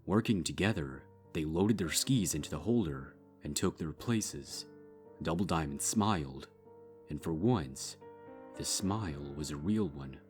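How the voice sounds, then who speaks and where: A man reading dark fiction as a scripted narrator, measured and with dramatic pauses. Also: extremely low pitch, lively intonation. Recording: music behind the voice.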